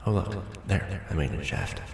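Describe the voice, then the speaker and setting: A man's voice: sits low, sing-song, moderate pace, gravelly, a little mellow, slight background noise, pitched above the performer's own voice, exaggerated voice. A man playing a nervous, joking young ex-janitor in a roleplay audio drama, hesitant and informal. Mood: wry, cautious.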